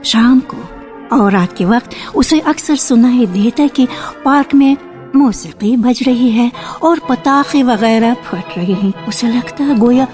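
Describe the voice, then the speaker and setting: A woman narrating a radio drama from a script in a measured storytelling manner. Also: on the high side, a little mellow. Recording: archival radio with faint music.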